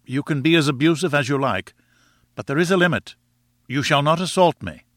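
A man's voice: on the high side, animated, brisk, fairly bright tone, dry recording, clean studio sound, pitched above the performer's own voice. A man playing a nervous, cheeky young bluffer. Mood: indignant. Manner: polite, fawning, hesitant. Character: nervous but cheeky male journalist posing as earnest student. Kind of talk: audiobook character acting.

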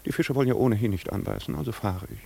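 An urbane, sardonic husband in a 1950s radio play. His voice is lowish, husky and creaky, measured and full of icy politeness. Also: darkish tone. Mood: sardonic, cool. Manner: dry, ironic, measured. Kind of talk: 1950s German radio drama acting.

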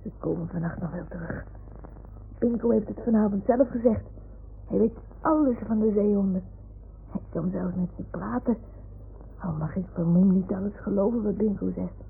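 Radio play lead: stubborn, dreamy little boy played by a woman, creaky and guileless, on a narrow vintage recording. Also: mid-range voice, moderate pace, natural voice. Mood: wistful. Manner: childlike, earnest, naive storytelling. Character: wistful, stubborn little boy talking to his toys. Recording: tinny, faintly noisy 1960s-70s radio recording.

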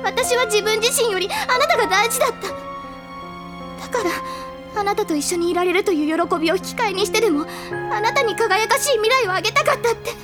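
A woman voicing an anguished, bitter teenage girl in an audio drama, speaking in a wounded, halting and intense way. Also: very high voice. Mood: aching. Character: anguished teenage girl, bitter over her mother's betrayal. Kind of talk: audio drama acting, emotional monologue. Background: music.

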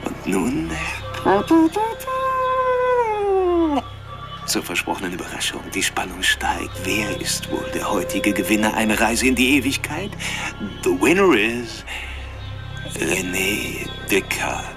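A mocking serial killer in a radio crime drama, with an animated voice that swoops widely. He is articulate and philosophical, toying theatrically with his listener on a call while dramatic music plays behind him. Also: on the low side, a little slow. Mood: gloating.

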